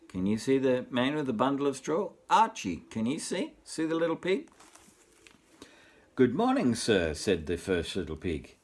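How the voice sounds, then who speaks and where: An older man reads a picture book to young children like a grandfather. His voice is husky and rises and falls a great deal, with expressive asides put straight to the little listeners. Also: medium pitch. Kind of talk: storybook reading with asides to children.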